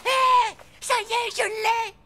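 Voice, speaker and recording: A woman voicing a cocky, bossy schoolboy with a raspy voice and slangy delivery in an animated cartoon. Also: very high voice, takes it slowly, very noisy. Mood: excited, urgent. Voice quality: raspy, creaky. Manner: slangy, cocksure, exclamatory. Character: cocky, bossy young schoolboy with wild imagination.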